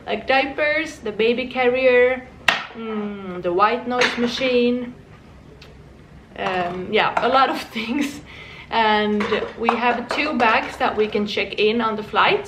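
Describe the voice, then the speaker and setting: A woman's voice: on the high side, takes it slowly, distant mic, background noise. A young woman from Finland, chatting casually to her vlog viewers at home, rambling with small restarts and laughs. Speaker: vlogger. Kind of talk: casual chat. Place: home room. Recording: echoey room, voice from off-mic.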